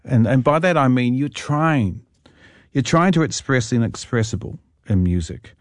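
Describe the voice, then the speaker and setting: A man with a middle-pitched voice asks measured, leading questions as an interviewer. The sound is dry and close, with no room around it. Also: expressive, no echo. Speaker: radio interviewer.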